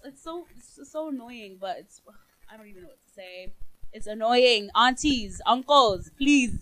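A woman talks on a casual podcast, laughing often and telling her stories with lots of energy. Her voice is bright and sits a little high. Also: normal speed, rough recording. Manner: laughs often, animated storytelling. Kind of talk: casual chat.

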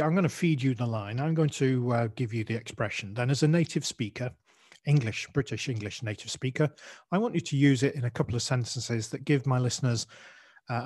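Man hosting a slow English lesson podcast. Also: medium pitch, darkish tone. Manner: explains slowly, spells out words. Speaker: podcast host, English teacher. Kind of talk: language lesson podcast chat.

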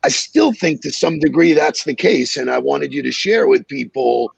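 A man co-hosting an interview show, asking questions in a measured, storytelling way. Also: medium pitch, expressive, creaky, slightly rough, no room sound. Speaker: co-host. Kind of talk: casual interview chat. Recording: dull, dry lo-fi audio.

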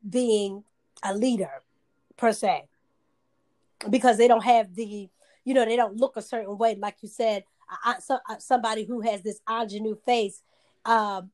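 High-pitched woman, earnest chat. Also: darkish tone. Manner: earnest, emphatic, with fillers. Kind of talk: casual chat.

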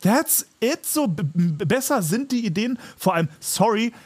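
A man's voice: high-pitched, very animated, normal speed, a little mellow, husky. A man in a casual podcast chat, ranting emphatically and with plenty of exaggeration. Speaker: podcast co-host.

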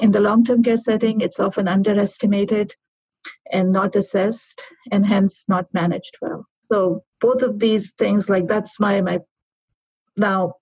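A middle-aged woman from Pakistan, a physician answering interview questions in an earnest, reflective way with pauses and restarts. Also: medium pitch, warm tone, husky, no echo. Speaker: guest physician. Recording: dull lo-fi sound.

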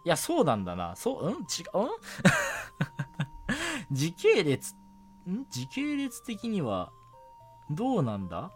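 A young man hosting a casual solo radio show, talking in a halting way as he thinks aloud. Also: a little high, huge pitch swings. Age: young adult. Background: background music.

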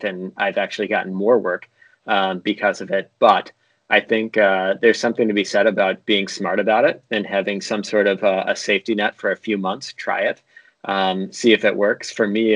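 A man's voice: lowish voice, a bit bright, no echo, dull audio. A young man from North America, a video editor, talking casually and a bit ramblingly as an interview guest.

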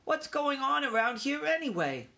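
A man's voice: very high voice, big pitch swings, normal speed, vocal fry, pushed and bright, voice pushed up, full character voice. A man voicing a young boy mouse in a storybook, eager and a little grand. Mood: bewildered, anxious.

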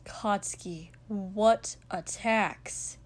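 Woman playing guarded young man, bewildered, terse, questioning, slow, audiobook character. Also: mid-range voice, darkish tone, lightly acted. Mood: confused, sharp.

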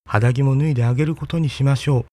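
A man's voice: mid-range voice, steady tone, fast talker, dark tone, no echo, pitched above the performer's own voice, lightly acted. A man voicing a pure-hearted young girl in a fairy tale. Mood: gentle.

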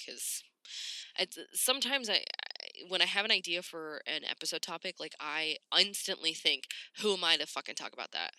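A woman hosting a podcast in casual, candid, slangy talk, slightly raspy and congested from a cold. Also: deep voice, pushed and bright, dry recording. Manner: candid, informal, sweary, slangy. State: congested, getting over a cold. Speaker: podcast host.

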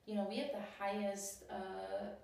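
A woman's voice: mid-range voice, little pitch movement, measured pace, creaky, darkish tone, recorded from a distance. A young woman who grew up in Albania, talking in a casual chat and often saying "like". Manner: chatty, laughing, lots of "like". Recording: echoey room, voice from off mic.

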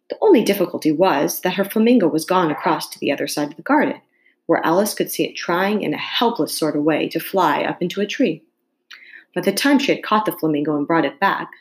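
A woman reading a classic children's story aloud as an expressive narrator. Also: lowish voice. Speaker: storybook reader.

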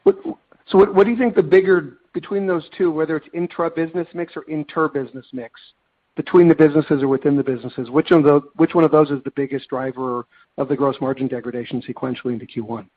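A man's voice: a little high, steady tone, fast talker. A man speaking as a financial analyst, putting detailed, probing questions on an earnings call and persistently rephrasing them. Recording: tinny, narrow phone line.

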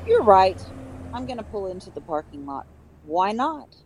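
A woman playing a carefree, reckless joyrider in an audio drama, with a lively conversational delivery. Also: mid-range voice, moderate pace, slight background noise, natural voice, low-quality recording. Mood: carefree, plotting. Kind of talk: amateur audio drama dialogue.

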